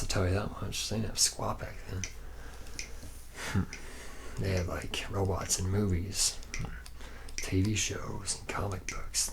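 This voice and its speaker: A man's voice: deep voice, gravelly, slight background noise. A man playing a laid-back, boozy, womanizing factory worker from the future in an ASMR roleplay, rambling slangily and often dropping into a whisper. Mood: wry rambling.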